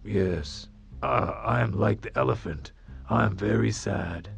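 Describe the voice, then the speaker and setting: A storyteller voicing a sad, weary lion in a small zoo enclosure, who speaks slowly and plainly for a children's story. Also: medium pitch, creaky, soft background music. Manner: slow, plain, unhurried speech.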